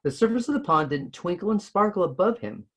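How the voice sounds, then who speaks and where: A man reading a children's story aloud with expressive narration. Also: high-pitched, brisk, slightly rough. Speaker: story reader.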